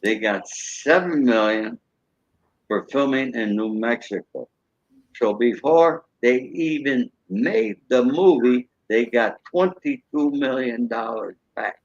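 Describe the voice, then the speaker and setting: A man's voice: mid-range voice, slow pace, no room sound. A man guest in a casual interview, matter-of-fact and peppering his talk with "you know". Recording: dry, dull lo-fi audio.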